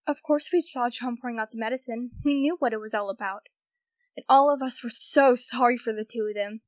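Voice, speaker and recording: A woman's voice: on the high side, a little fast, dry recording, natural voice. A young woman playing a gossipy, pitying society woman who tells stories chattily in a radio play. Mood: sympathetic. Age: young adult. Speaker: student actor.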